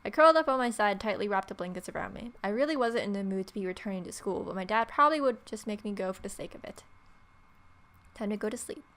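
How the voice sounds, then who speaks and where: A woman's voice: mid-range voice, expressive, fast, a bit bright. A woman reading story text aloud as a let's player, with irreverent, sarcastic asides.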